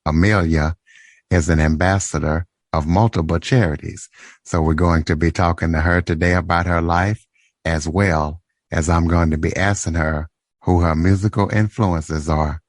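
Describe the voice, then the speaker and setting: Low-voiced guy hosting a podcast, dry close sound, asks plain questions. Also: moderate pace, no echo. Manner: plain, steady questioning with small restarts. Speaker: podcast host. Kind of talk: interview podcast.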